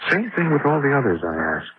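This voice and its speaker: An actor playing an uneasy, suspicious scout in a radio horror drama, reporting apprehensively. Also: male voice, a little high, big pitch swings, fast talker. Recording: noisy, muffled radio drama recording.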